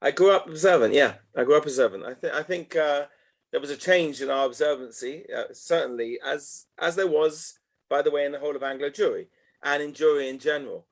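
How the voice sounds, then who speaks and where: A man's voice: on the high side, a little fast. Reflective man in his fifties. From North London, England. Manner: reflective, loose phrasing with frequent "okay" asides. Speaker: guest, educational tour organizer. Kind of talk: casual interview answers.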